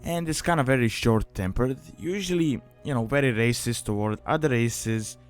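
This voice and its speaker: A man's voice: mid-range voice, normal speed. A man giving a casual, conversational explanatory voice-over as the narrator of a guide, often saying "you know". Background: background music.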